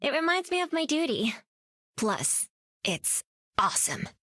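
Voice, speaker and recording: A woman's voice: high voice, very animated, a little slow, pushed and bright, no echo, clean studio sound, full character voice. An actress playing an upbeat, confident young hero girl in a video game, casual and plucky.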